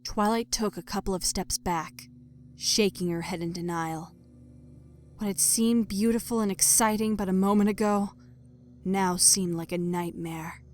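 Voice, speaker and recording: A woman's voice: mid-range voice, fairly even intonation, measured pace, a little mellow. A woman reading scripted story narration in a dramatic audio fiction style. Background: music.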